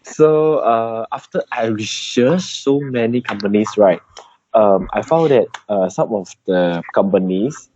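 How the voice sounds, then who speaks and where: A man with a Malaysian accent giving an update in an informal work meeting on a video call, in halting non-native English. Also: on the low side, expressive, a little mellow. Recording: dull, noisy video call with clicks.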